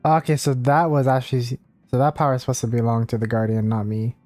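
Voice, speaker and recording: A man's voice: mid-range voice, little pitch movement, fast, darkish tone, dry recording. A man streaming a game, commenting casually and reading dialogue choices aloud. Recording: faint game music behind.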